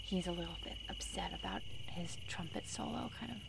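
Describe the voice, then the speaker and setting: A woman's voice: on the low side, a little fast, gravelly, very noisy. A woman playing a busy, tired school board mother in an improvised radio play, hedging and politely evasive. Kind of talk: improvised radio drama acting.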